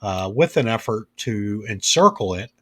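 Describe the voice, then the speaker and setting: A man reading a news roundup while he has a head cold, his voice raspy. He moves between headlines and halting, casual asides. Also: lowish voice, animated, sharp tone, no echo, clean studio sound. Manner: reads bulletins, then drifts into halting, filler-heavy asides. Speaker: news channel host.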